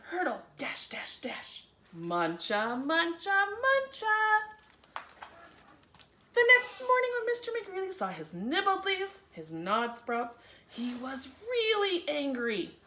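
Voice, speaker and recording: A woman reading a children's picture book aloud in an expressive, theatrical way with dramatic emphasis. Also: high-pitched, slight background noise. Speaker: children's story reader. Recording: roomy, echoey room sound.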